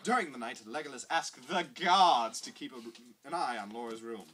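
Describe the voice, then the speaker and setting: A woman's voice: extremely low pitch, lively intonation, moderate pace, fairly bright tone. A woman giving an over-dramatic comic reading of bad fan fiction, with exaggerated emphasis that often verges on laughter.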